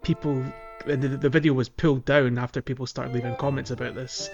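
A man's voice: mid-range voice, little pitch movement, brisk, dull audio, music in the background. A man co-hosting a gaming podcast, talking casually in a hesitant, rambling way. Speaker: podcast co-host.

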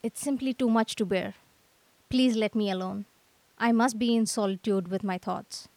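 A woman's voice: mid-range voice, fairly even intonation, normal speed, a little mellow, dry recording, lightly acted. A woman playing a gloomy, aggrieved young male artist in a radio drama, with theatrical lamenting. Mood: despairing.

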